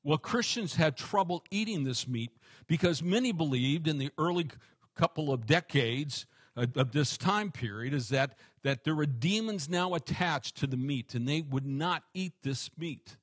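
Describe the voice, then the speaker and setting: A man in his forties, a pastor giving an animated expository sermon on a headset mic. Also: a little high, normal speed, breathy edge, no room sound, clean studio sound. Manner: animated, gestures a lot, varies loudness for emphasis.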